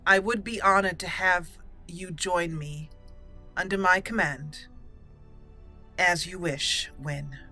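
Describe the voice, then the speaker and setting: A woman voicing an earnest elf mage commander in improvised game roleplay, with a formal, deliberate, halting manner. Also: fairly low pitch, bright, forceful tone, faint music behind, full character voice. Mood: gracious.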